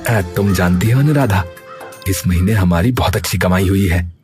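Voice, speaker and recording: A man's voice: low-pitched, normal speed, lightly acted. A man voicing a kind, eager village jalebi seller in a cartoon story. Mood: earnest. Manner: eager, pleading and hawking loudly. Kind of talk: cartoon voice acting. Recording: faint music behind.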